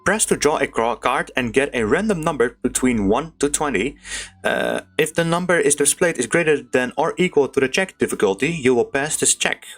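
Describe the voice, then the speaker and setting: A woman with a low voice commentates casually on a story game. Her wording is informal and slangy, and she reads on-screen text in the same offhand way. Soft game music plays behind her. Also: animated, moderate pace. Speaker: gaming streamer playing a story game.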